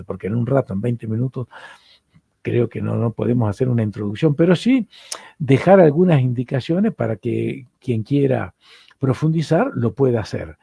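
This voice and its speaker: A man's voice: mid-range voice, creaky, warm tone, slightly rough, dry recording. A middle-aged man from Argentina with an Argentine accent, giving a lecture on psychoanalysis and often repeating words as he searches for them. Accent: Argentine Spanish.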